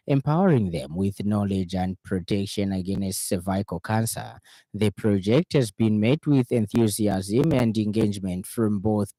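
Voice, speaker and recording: A man with a Zambian accent reading a radio news bulletin in a formal, measured way from a studio. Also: fairly low pitch, mellow, dry recording.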